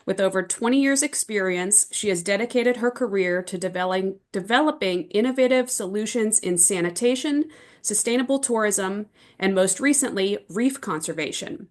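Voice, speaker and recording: A woman's voice: mid-range voice, normal speed. Woman reading a guest introduction. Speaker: event host. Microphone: video call.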